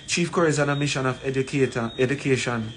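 A man in his forties with a Jamaican accent gives an emphatic, deliberate address to camera, repeating phrases for weight. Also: mid-range voice. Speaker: community leader. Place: small plain room indoors. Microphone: phone at arm's length. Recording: noisy room, ambient background sound.

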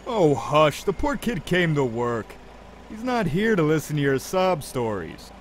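A man acts out a good-humored male drug dealer in an audio drama. He is talkative and folksy, with a lightly high, very lively voice and a teasing way. Some vehicle noise sits behind him. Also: a little slow.

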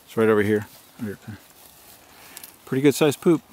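A man's voice: medium pitch, normal speed, a little mellow. A man narrating casually while filming on a hike in an open grassy field, with the camera's own mic. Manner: chatty, running commentary. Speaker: hiker filming a Bigfoot search. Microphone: handheld camera mic.

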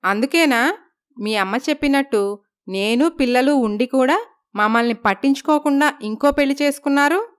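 A worried young wife, hurt and reproachful, as a woman acts her out. She pleads with her husband in a clear, smooth voice pitched a little high. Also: normal speed, close to an everyday voice. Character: wronged young first wife, anxious.

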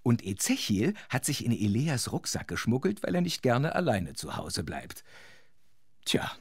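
An actor as a chatty, wry storyteller narrating a children's audio drama. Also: male voice, mid-range voice, wildly swooping pitch, a little slow, breathy edge, dry recording, studio quality, full character voice. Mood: wry, explaining.

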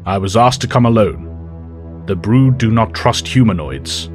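An actor playing a calm, dignified male telepathic professor who speaks in a formal, measured way. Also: fairly low pitch, soft background music.

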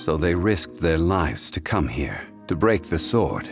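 A very low, grave voice for an ancient god in human form. He speaks in calm, measured, formal phrases, as in a fantasy video game, with music clearly playing behind him. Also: heavily acted. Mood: solemn. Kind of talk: scripted fantasy game dialogue.

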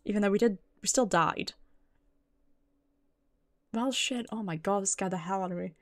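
A woman's voice: medium pitch, normal speed. A British woman streamer chatting casually and expressively with her viewers, swearing freely. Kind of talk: casual livestream chat. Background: background music.

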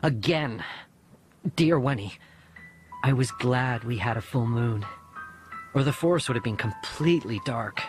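A man reading a first-person children's story as an audiobook narrator, with a husky voice. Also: mid-range voice, animated, measured pace, long pauses, faint music behind. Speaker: audiobook reader.

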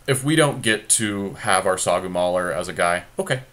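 Low, bright-voiced man talking strategy. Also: animated, moderate pace. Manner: thinking aloud, conversational. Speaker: podcast co-host. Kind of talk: casual game commentary.

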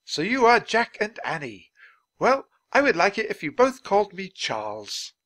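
A man voicing a kindly, indignant English gentleman author in a storybook, with grand, emphatic speech and a theatrical manner. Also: sits high, huge pitch swings, normal speed, pitched above the performer's own voice, full character voice. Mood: delighted, curious.

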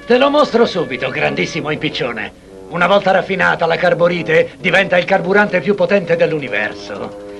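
A gravelly, menacing reptile overlord in an old dubbed cartoon. The voice sits high for a man, rough and scheming, with music running under it. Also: sing-song, fairly quick, fairly bright tone, exaggerated voice. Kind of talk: 1960s cartoon dubbing.

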